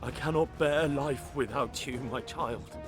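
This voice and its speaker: A man's voice: mid-range voice, measured pace, music in the background, natural voice. An actor playing a desperate, guilt-ridden father, pleading emotionally in a video game cutscene. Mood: pleading, remorseful.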